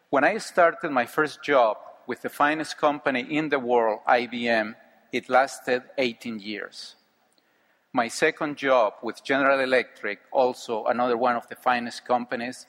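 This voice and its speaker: Middle-aged mexican guy, spanish accent, earnest measured testimony talk, long hall reverb. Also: mid-range voice, fairly even intonation, a bit bright. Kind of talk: personal testimony speech.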